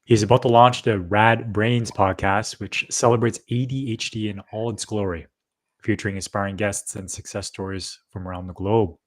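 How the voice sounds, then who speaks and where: A man's voice: fairly low pitch, fairly even intonation, moderate pace. A man co-hosting a casual live-streamed talk, loose and rambling, with many fillers as he introduces and checks in. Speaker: co-host.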